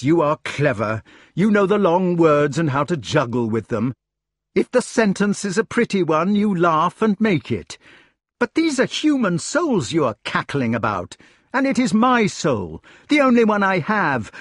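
A man's voice: medium pitch, very animated, unhurried, slightly rough, no room sound, clean studio sound. A man voicing a wretched, betrayed old king in a storybook, impassioned and declamatory, raging and pleading. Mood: raging, anguished. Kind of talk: dramatic audiobook character reading.

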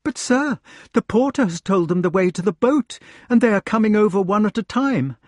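A man voicing a flustered gentlewoman servant in a storybook, urgent, insistent and deferential. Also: high voice, animated, normal speed, dry recording, clean studio sound, pitched above the performer's own voice. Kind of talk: storybook character voice.

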